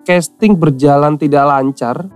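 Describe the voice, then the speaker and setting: A man's voice: on the high side, measured pace, darkish tone, smooth, faint music behind. A young man from Central Java telling his story in casual slangy talk and acting out the voices he quotes. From Central Java, Indonesia. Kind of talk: casual podcast chat, storytelling.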